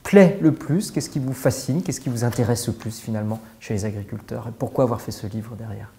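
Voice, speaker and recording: A man interviewing a guest, brisk and posing several questions in a row. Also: mid-range voice, creaky, dark tone.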